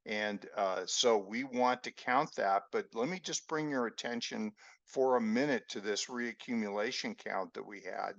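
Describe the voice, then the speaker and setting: Slow gravelly man explaining charts. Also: on the low side, fairly bright tone, no echo. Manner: slow, measured explaining with fillers. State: losing his voice. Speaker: market analyst host. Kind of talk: screen-share chart lesson. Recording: dry, dull lo-fi voice-over.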